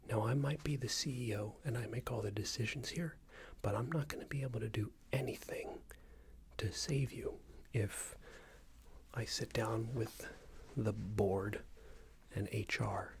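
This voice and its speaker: A man doing an ASMR roleplay as a passive-aggressive company boss, speaking in a soft, breathy whisper with hesitant, patronising phrasing. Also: lowish voice, unhurried, slight background noise. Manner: hesitant, repetitive, patronising workplace talk. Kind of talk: ASMR roleplay, improvised monologue.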